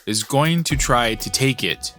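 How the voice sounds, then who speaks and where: An actor playing a weary, exasperated man in a sci-fi noir audio drama, speaking gravely and urgently. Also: mid-range voice, lively intonation, unhurried, a bit bright, soft background music.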